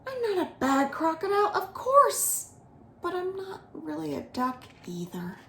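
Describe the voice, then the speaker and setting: A woman's voice: very high-pitched, wildly swooping pitch, a little slow, gravelly, bright, forceful tone, a little hiss or hum, voice pushed up, full character voice. A woman voicing an earnest, plucky young male crocodile raised by ducks, wide-eyed and questioning, for a storybook. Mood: relieved, determined. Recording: roomy echo of a home room.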